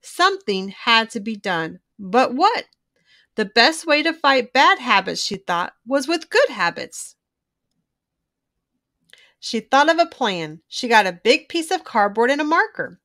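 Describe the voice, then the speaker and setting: A woman reading a children's storybook aloud in an expressive, emphatic way, drawing out key words. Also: fairly high pitch, measured pace. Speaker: children's book reader.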